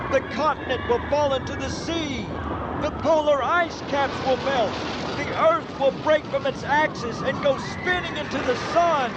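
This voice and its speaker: An actor playing an overdramatic TV news reporter covering doomsday in a radio comedy-drama. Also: male voice, very high-pitched, dull audio, heavy background noise. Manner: theatrical, punning.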